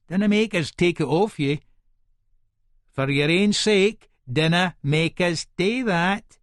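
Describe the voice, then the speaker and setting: A male actor plays a volatile Edinburgh hard man telling his own story in a dramatised audiobook. His broad Scottish speech is aggressive, colloquial and thick with swearing, sitting at a middling pitch. The sound is close and dry. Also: no echo, natural voice. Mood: menacing. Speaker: audiobook narrator in character. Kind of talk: first-person dramatised audiobook monologue.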